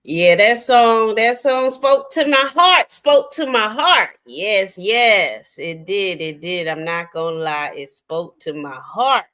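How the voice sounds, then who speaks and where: An older woman hosting a casual late-night livestream, talking in a slow, reflective, rambling way with many pauses. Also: medium pitch, expressive, sharp tone. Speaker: livestream host.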